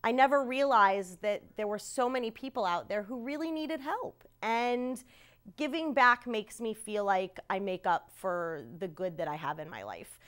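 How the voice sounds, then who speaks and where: A woman's voice: medium pitch, moderate pace. A woman working for a builder, speaking sincerely about giving back. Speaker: construction company staffer. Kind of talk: interview testimonial.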